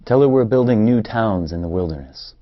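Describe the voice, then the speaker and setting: A man's voice: lowish voice, lively intonation, a little fast, natural voice. An actor in a play reading, playing an anxious, protective man in an Israeli family, on a dull recording. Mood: tense, insistent.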